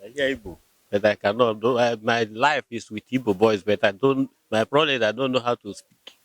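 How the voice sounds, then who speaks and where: A man's voice: medium pitch, steady tone, brisk, a bit bright, no room sound. A Nigerian man answering interview questions about his community, hesitant, often repeating words while explaining patiently. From Nigeria, Rivers State. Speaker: local community member interviewed. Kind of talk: conversational answers.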